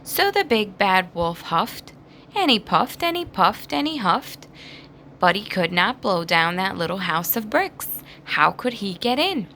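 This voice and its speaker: A woman narrates a children's storybook expressively. She takes her time, with wide swings in pitch and a bit of creak in the voice, over faint background noise. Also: sing-song, measured pace, bright, forceful tone. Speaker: storybook reader. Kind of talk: children's read-aloud narration.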